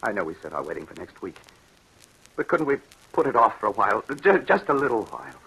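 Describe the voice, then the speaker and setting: A man's voice: fairly low pitch, big pitch swings, fast talker, creaky, pushed and bright, husky, full character voice, rough recording. An actor playing a haunted, fearful man in an old radio drama, melodramatic and intense, stammering under strain. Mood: uneasy, pleading. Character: haunted, fearful man tormented by a ghostly cat-woman. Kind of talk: 1940s radio drama acting. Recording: hissy old radio broadcast.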